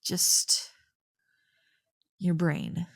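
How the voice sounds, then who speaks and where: A woman's voice: fairly low pitch, flat delivery, very slow, creaky, no room sound, studio quality, exaggerated voice. A woman playing a snarky tsundere young succubus who secretly cares, teasing and exasperated in an ASMR roleplay. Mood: grudging concern. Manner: teasing, exasperated, sighing, grudgingly soothing.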